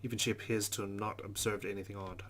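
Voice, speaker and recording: A man's voice: fairly low pitch, little pitch movement, fast, a bit bright. A man hosting a let's play, narrating a visual novel aloud and adding his own asides.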